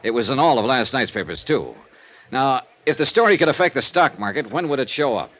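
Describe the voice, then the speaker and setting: A man acting as a hard-nosed American investigator from a 1950s radio drama. His delivery is clipped and wry, with lively swings in pitch as he reports like a man filing his expenses. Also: fairly quick, lightly acted. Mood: businesslike. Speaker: lead actor and narrator of a radio detective series. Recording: vintage 1950s radio recording.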